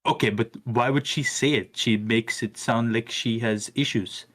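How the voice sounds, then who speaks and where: A man's voice: medium pitch, steady tone, measured pace, a bit bright. A man calling in to a livestream, arguing in a hesitant way with many repeats and fillers. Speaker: caller. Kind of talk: call-in debate.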